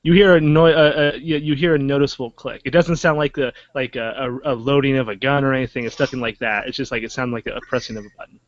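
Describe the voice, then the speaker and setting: A man at a mid pitch narrates a tabletop game as its game master. He stammers and restarts his sentences as he describes what happens. The audio is dull and lo-fi. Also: steady tone, fast talker, few pauses.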